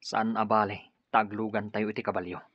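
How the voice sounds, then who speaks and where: A man's voice: fairly low pitch, level tone, moderate pace, no echo, highs cut off. A man playing a cold, dutiful henchman, curt and unyielding, in an Ilocano radio drama. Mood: commanding. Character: cold dutiful henchman who obeys his boss.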